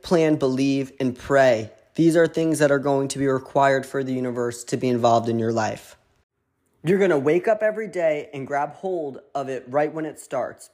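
A man in his thirties from Connecticut with a Connecticut accent, hosting a motivational podcast in an animated, emphatic monologue that now and then includes swearing. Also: on the high side. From Connecticut, USA. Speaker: podcast host.

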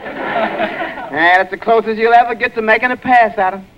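An actor playing a wry, teasing tavern sidekick in a 1940s radio comedy. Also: male voice, high voice, fairly even intonation, normal speed, close to an everyday voice. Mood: needling. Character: Eddie, needling, wry male tavern sidekick. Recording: muffled, noisy old radio recording.

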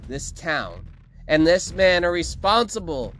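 Man playing a high, screechy, sickly villainess. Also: very animated, slow, soft background music. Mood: furious, screeching. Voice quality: screechy, hacking, coughing. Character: wicked, theatrical, sickly young villainess with a shrieking temper.

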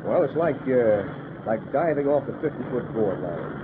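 An actor playing a calm, authoritative army colonel who explains things in a measured way, in a 1940s radio drama. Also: male voice, mid-range voice, lightly acted. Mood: assured. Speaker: air force colonel. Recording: noisy lo-fi old radio broadcast.

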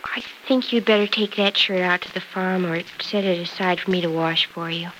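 An American actress playing a gentle young wife who hides her worry in a soft-spoken, forbearing way, in 1940s radio soap style. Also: fairly low pitch, fairly even intonation, moderate pace, lightly acted. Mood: quietly hurt. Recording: noisy vintage radio recording.

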